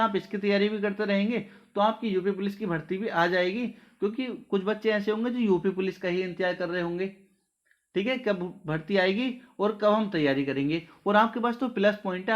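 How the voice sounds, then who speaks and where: High-pitched man, dull audio. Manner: repeatedly checks in with short tag questions. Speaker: online exam-coaching teacher. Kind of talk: informal address to students.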